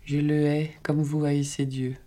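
A woman reciting a poem in a slow, measured, dramatic way. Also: sits low, little pitch movement, mellow. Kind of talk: poetry recitation.

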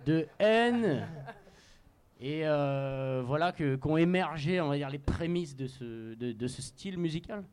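A man with a French accent from France, a musician guest giving halting, wordy, mock-serious answers in a tongue-in-cheek radio interview. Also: a little high, lively intonation, a little mellow, clean tone.

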